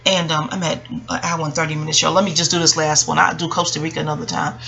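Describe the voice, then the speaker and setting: New woman vlogger with creaky, bright voice. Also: low voice, flat delivery, fast. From Queens, New York. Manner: conversational, acts out reported dialogue. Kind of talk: casual storytelling to viewers.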